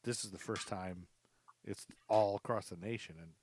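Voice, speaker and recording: A man's voice: fairly low pitch, moderate pace, a little mellow. Rambling male podcast host. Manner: rambling, thinks aloud with many fillers. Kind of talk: casual chat.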